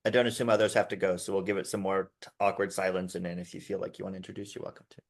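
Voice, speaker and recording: A man hosting a video call session, welcoming participants briskly. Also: deep voice, little pitch movement, creaky. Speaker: session host.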